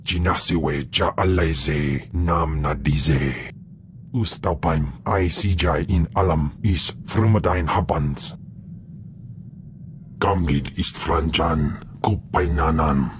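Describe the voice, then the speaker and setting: An actor voicing an ominous, hungry alien creature in a video game, solemn, with guttural alien speech. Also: male voice, very deep voice, takes it slowly, slight background noise. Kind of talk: video game character acting.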